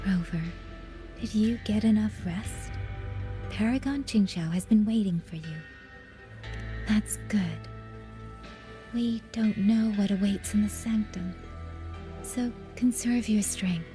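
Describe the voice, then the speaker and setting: An actress playing a composed female military officer in a video game who reports in a brisk, dutiful and measured way. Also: medium pitch, dark tone, soft background music, close to an everyday voice.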